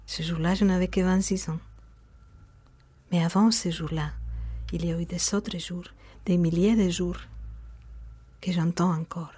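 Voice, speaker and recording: A native Spanish-speaking woman with a Spanish accent, narrating a personal memoir in an intimate, measured, reflective way. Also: on the low side, steady tone, dark tone, husky. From Spain. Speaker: narrator of an autobiographical sound piece. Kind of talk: intimate scripted autobiographical narration.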